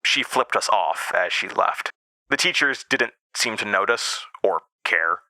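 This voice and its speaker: Low-voiced man narrating over guitar music. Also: animated, unhurried, bright, forceful tone, no room sound, poor audio. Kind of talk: first-person scripted horror audio drama narration. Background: music, plucked guitar.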